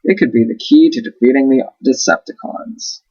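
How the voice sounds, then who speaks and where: A man acts out a heroic robot commander from a children's storybook. He is earnest and declarative, with a husky edge. The sound is slightly lo-fi. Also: mid-range voice, huge pitch swings, moderate pace, mellow.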